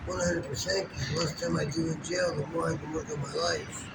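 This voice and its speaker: A man's voice: on the high side, steady tone, fairly quick, gravelly, a loud crowd. A man chatting casually and candidly as an interviewee on the street. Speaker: street interviewee, dog owner. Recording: very noisy street, distant from mic.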